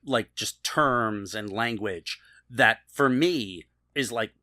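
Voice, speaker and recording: A man's voice: on the low side, takes it slowly, sharp tone, no room sound, studio quality. A man in his fifties chatting casually as a podcast co-host, explaining things like a keen trivia fan. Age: 50s. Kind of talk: casual panel discussion of a TV show.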